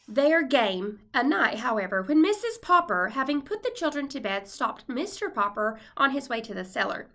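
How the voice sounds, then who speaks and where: A woman with a high-pitched, bright voice reads a children's storybook aloud. She sounds like a first-grade teacher, expressive and clearly addressing young listeners. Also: normal speed, hardly any pauses. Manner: expressive, voices the characters' dialogue.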